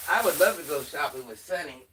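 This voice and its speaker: An older woman hosts a casual phone livestream in a deep, forceful voice. She sounds stuffed up from allergies and chats straight to her viewers, with faint noise behind her. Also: animated, a little fast, low-quality recording. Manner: chatty, talks straight to viewers. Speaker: livestream host.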